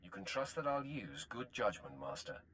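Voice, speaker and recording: A man's voice: mid-range voice, big pitch swings, moderate pace, a bit bright, a little hiss or hum. A man playing a stern, confident Sith warrior in a video game, speaking in terse, controlled, formal phrases. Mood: dutiful, confident. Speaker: voice actor in a game. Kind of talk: cinematic video game acting.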